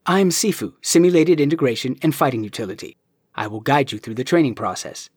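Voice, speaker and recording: A man voicing a mocking older kung fu master with a New Zealand accent, gruff and taunting. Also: mid-range voice, animated, brisk, a little mellow, no room sound, studio quality. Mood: formal.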